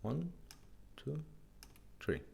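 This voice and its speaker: A man who speaks English as a second language, giving a casual, halting product demonstration in simple phrases. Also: a little high, wildly swooping pitch, gravelly, a little mellow, husky. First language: not English. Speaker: presenter demonstrating restored car radios.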